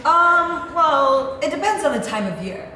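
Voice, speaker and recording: A woman's voice: high-pitched, lively intonation, unhurried, crowd noise. A woman acting in a stage play as a polite, evasive girlfriend of about thirty. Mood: politely evasive. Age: 30s. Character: polite, evasive thirty-year-old girlfriend of wealthy man. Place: theatre stage. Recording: echoey theatre hall, noisy.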